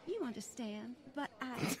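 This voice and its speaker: Actress as a prim, high-voiced society grandmother. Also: lively intonation, measured pace. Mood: defensive, condescending. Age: older. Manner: prim, fussy, flustered, condescending. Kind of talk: old-time radio style dramatic acting.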